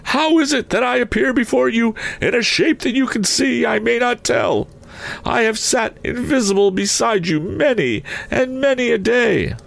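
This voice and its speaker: A man voicing the anguished ghost of a dead businessman in a storybook reading, mournful and declamatory, with drawn-out solemn words. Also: extremely high pitch, animated, creaky, a bit bright, pitched above the performer's own voice, exaggerated voice. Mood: urgent, grave.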